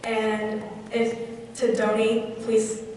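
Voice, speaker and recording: A woman's voice: fairly high pitch, monotone, extremely slow, a little mellow, slight background noise. A teenage girl with a New Jersey accent earnestly reading a prepared fundraising speech, heard from a distance in a large convention hall. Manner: reads from a script, earnest. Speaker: youth chapter representative. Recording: echoey hall, distant mic.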